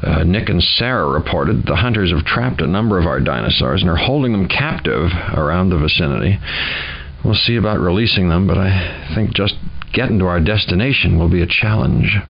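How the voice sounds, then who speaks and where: An actor playing a gloomy, wry scientist who narrates mission notes in a video game, dry and foreboding. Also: male voice, sits low, expressive, a little fast, vocal fry, a little hiss or hum. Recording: dull lo-fi game audio.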